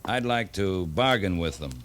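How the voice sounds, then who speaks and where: An actor playing a tough, steady cavalry captain in a 1950s radio western, terse and matter-of-fact. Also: male voice, low voice, moderate pace. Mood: firm, matter-of-fact.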